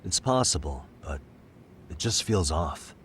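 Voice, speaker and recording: An actor playing a calm, sharp detective in an English game dub, measured and thoughtful as he reasons through a case. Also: deep voice, a little hiss or hum, exaggerated voice. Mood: pensive. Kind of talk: English video game dub cutscene acting.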